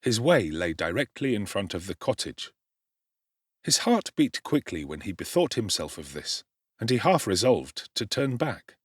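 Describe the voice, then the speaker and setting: A male actor giving an expressive, theatrical reading as an audiobook narrator. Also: fairly low pitch, moderate pace, husky, no echo, studio quality.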